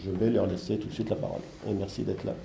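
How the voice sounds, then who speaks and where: A man with a congested head cold gives a halting, digressive introduction as host of a seminar. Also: on the low side, flat delivery, vocal fry. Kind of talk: spoken introduction of a seminar, semi-improvised. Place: seminar room with an audience. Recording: echoey room with faint background noise.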